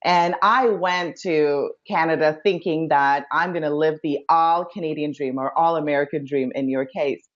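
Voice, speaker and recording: A woman from India talks as a guest on a casual interview show, telling her story with upbeat enthusiasm. Her voice sits fairly low, and the sound is dull and lo-fi. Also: fairly even intonation, moderate pace, few pauses. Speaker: guest, life coach.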